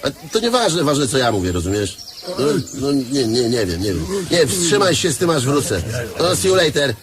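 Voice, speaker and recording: A man's voice: medium pitch, sing-song, moderate pace, crowd noise, natural voice. A man acting in a radio play as a bossy, greedy cemetery leaseholder, officious and dismissive, repeating his words. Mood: bossy, irritated.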